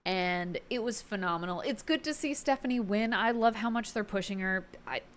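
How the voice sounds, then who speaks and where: A woman giving a casual, opinionated vlog recap in an expressive, gushing manner. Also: medium pitch, normal speed. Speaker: wrestling review vlogger.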